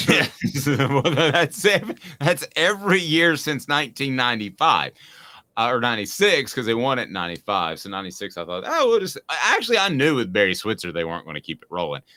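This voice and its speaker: A man's voice: medium pitch, sing-song, fairly quick, bright, forceful tone, no echo. A man in his forties hosting a sports talk show, telling rambling, self-mocking stories in a casual way. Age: 40s. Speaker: sports radio host.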